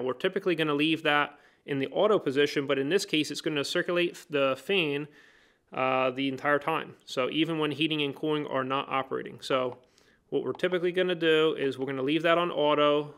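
A man's voice: on the high side, a bit bright, clear, resonant tone. A man teaching HVAC basics, explaining each step plainly and working through a hands-on bench demonstration. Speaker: HVAC trainer. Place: indoor workbench.

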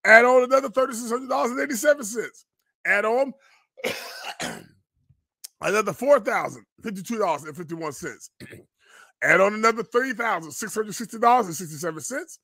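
A man's voice: high voice, expressive, normal speed, gravelly, pauses often, bright, forceful tone, dry recording, clean studio sound. A man, a pastor hosting a live commentary, indignant and emphatic, repeating phrases and firing rhetorical questions like a preacher. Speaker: pastor and online show host. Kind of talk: fiery live-stream commentary, preacher's cadence.

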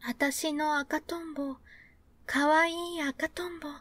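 Little girl in a storybook, voiced by a woman, high childlike voice, unhurried, dry close sound. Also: lively intonation, a bit bright, no room sound, heavily acted. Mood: sad, soft. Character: sweet little girl, kind and outspoken.

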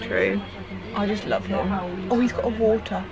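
Slightly hoarse young woman vlogging. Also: mid-range voice, steady tone, unhurried, muffled recording, a crowd in the background. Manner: chatty, lots of "like" fillers. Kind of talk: casual vlog chat. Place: car, then café bus. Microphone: handheld camera at arm's length.

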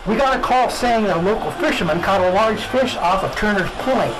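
A man's voice: high-pitched, expressive, fairly quick, background noise. A man playing an earnest, easily impressed small-town police chief in a radio drama spoof. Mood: excited. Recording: music behind the voice.

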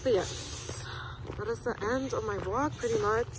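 A woman's voice: fairly high pitch, moderate pace, background noise. Chatty young woman vlogging outdoors. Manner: chatty and upbeat, talking to viewers. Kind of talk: casual vlog chat. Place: outdoors at an orchard brewery. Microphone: phone camera at arm's length. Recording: loud music and crowd chatter behind. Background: loud music and crowd chatter.

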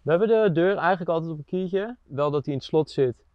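A man's voice: on the high side, fast, no echo. Brief: young Dutch man, easygoing tour guide of his own van, dry close sound, a little dull. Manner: relaxed, hesitant with many fillers, laughs often. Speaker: van dweller giving a tour. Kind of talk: casual tour chat. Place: inside a small camper van, outdoors by it.

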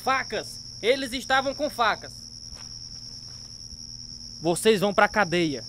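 A man's voice: high voice, animated, takes it slowly, full character voice. Military policeman, bossy, tense, clipped orders, radio drama, light background noise. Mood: aggressive, suspicious. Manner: barking orders.

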